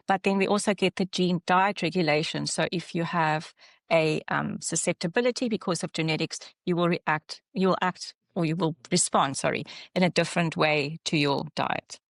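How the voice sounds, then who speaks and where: South African woman clinician presenting slides, lowish voice, dry close sound, explains with pauses and restarts. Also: no echo. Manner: halting explanation with fillers and self-corrections. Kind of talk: online webinar presentation.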